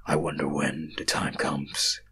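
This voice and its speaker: A man voicing a big shadowy dream entity in a storybook reading, ominous and cryptic, with a deep, breathy half-whisper and slow, portentous delivery. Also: completely flat delivery, a bit bright.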